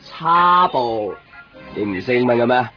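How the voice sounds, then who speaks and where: A man's voice: mid-range voice, lively intonation, unhurried, close to an everyday voice. An actor playing an earnest, lovestruck young man in a Hong Kong Cantonese radio drama. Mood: resigned. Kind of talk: naturalistic 1990s radio drama dialogue and inner monologue. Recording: muffled 1990s radio drama, music underneath.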